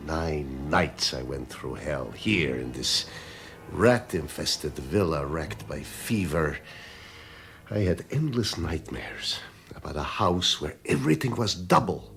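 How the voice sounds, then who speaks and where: Gravelly, very deep, menacing crime boss. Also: male voice, expressive, exaggerated voice. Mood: brooding, haunted. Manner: theatrical, mock-courteous, deliberate, dropping into hushed intensity. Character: menacing, dandyish male crime boss, vengeful and courteous. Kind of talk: 1980s radio drama acting.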